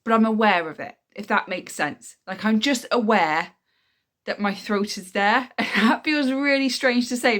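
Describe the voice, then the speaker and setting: A woman's voice: medium pitch, a bit bright. A woman, chatting casually in a weekly vlog, a bit nasal and bunged up from a cold. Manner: chatty, rambling, self-explaining. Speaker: vlogger, single mum.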